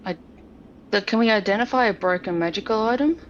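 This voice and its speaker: A woman's voice: mid-range voice, quick pace. A woman playing a tabletop game and chatting casually. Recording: muffled audio with faint noise.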